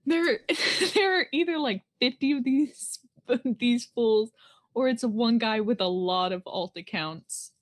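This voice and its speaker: A woman reads as an eager, goofy teenage boy in a web comic. The voice sits fairly high, with a slangy, playful delivery that tips easily into exasperation. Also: slow pace. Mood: exasperated.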